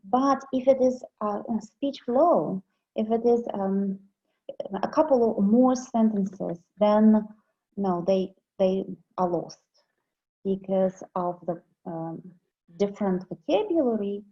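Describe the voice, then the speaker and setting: Husky Ukrainian-accented woman giving an academic talk. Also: mid-range voice, slow pace, dark tone. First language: Russian. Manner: careful, with fillers and restarts. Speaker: conference speaker. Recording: muffled online call audio.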